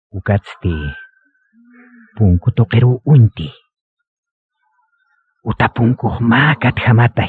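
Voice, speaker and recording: A man with a Bolivian accent narrating a scripted radio drama in a measured, solemn way, on a muffled recording. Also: fairly low pitch, husky.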